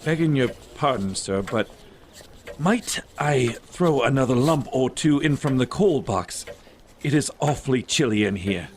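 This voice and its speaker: A man's voice: medium pitch, animated, unhurried, slight background noise, natural voice. A man playing a meek, polite office clerk with a British accent, timid and deferential, in an amateur audio drama. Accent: put-on British.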